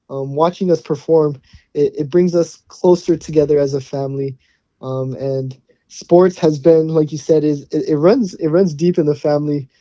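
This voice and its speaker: A man's voice: a little high, mellow, no room sound, highs cut off. A young man from Hawaii, a college football player, answering interview questions in an easygoing way with many fillers and restarts. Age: young adult.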